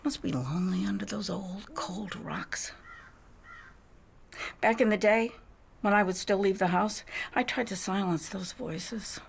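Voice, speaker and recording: An actress playing a faded, reclusive older widow, wistful and wry, confiding theatrically in a game monologue with drops into whispers. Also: female voice, mid-range voice, measured pace, a little hiss or hum. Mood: wry, nostalgic. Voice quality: husky, breathy with creaky edges. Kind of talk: dramatic video game monologue.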